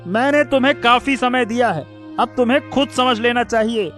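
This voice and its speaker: A man's voice: extremely high pitch, normal speed, fairly bright tone, faint music behind. A man voicing a greedy, heartless, domineering elder brother in a cartoon story, with a scolding, dismissive manner. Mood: harsh scolding. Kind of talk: Hindi moral-story cartoon dubbing.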